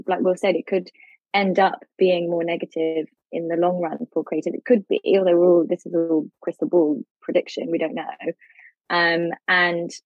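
A woman's voice: on the low side, husky, no echo, lo-fi sound. A woman legal expert on a podcast, thinking aloud as she explains the law, with frequent restarts. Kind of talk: podcast discussion.

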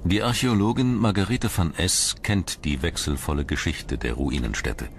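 A man reading scripted documentary narration in a measured, articulate storytelling manner. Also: low-pitched, a little hiss or hum. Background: music.

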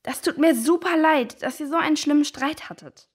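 A woman's voice: high-pitched, normal speed, lightly acted. A woman playing a sympathetic, inquisitive child in a children's audio drama. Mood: sympathetic, curious.

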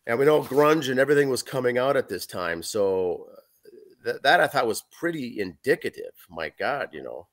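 A hoarse man in his forties co-hosting a podcast over a video call, reading album facts with fillers. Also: medium pitch, big pitch swings, normal speed, fairly bright tone. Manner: reads facts and track lists with fillers. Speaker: podcast co-host reviewing an album. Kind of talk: casual podcast chat, reading notes. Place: small room at home. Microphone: video call webcam.

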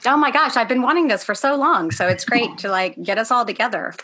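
Animated young woman hosting a video call. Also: on the high side, brisk, slight background noise. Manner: bubbly and animated. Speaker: host. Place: dim room with a red backdrop.

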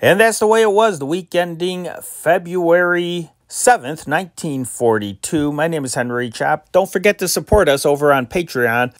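A man's voice: a little high, dry recording. Man hosting, close dry sound. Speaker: show host. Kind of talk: scripted radio-style sign-off narration.

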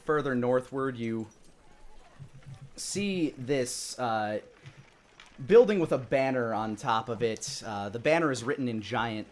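A man's voice: mid-range voice, slow. Man in his thirties running a fantasy game. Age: 30s. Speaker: dungeon master, host. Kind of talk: narrating a live-streamed tabletop game.

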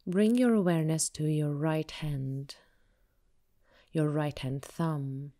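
A woman yoga teacher leading a guided meditation with soothing, measured, unhurried instructions. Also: sits low, mellow.

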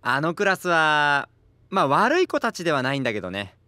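Audio drama: easygoing male teacher, amused, bright tone, lively intonation. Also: on the high side, a little fast, clean tone, dry recording, studio quality, full character voice.

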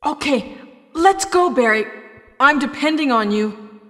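A high, slow, earnest game heroine. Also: female voice, expressive, fairly bright tone, full character voice. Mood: calm, hesitant. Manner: stilted, slow, over-enunciated delivery. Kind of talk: 1990s video game voice acting. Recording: long hollow reverb.

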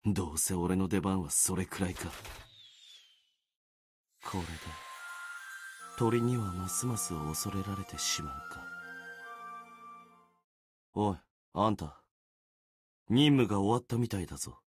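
A man voicing a gloomy, self-deprecating young warrior sword spirit in a video game, brooding and resigned, with a husky voice. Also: music in the background. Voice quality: low, husky. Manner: brooding, resigned, muttering with trailing pauses. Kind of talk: Japanese video game character acting.

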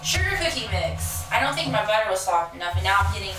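A woman's voice: mid-range voice, sing-song, fast. A young woman from Illinois with a nasal voice and a cold, shyly talking while baking on a home stream. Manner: shy, reluctant to talk. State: has a cold. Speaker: host, baker. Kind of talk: casual livestream chat. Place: home kitchen. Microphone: far room mic. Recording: echoey kitchen from far off, music behind.

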